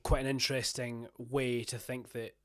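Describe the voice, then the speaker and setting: A man's voice: mid-range voice, little pitch movement, normal speed. A young man hosting a podcast, greeting his guest and asking questions in a loose, trailing conversational way. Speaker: podcast host. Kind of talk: casual podcast interview.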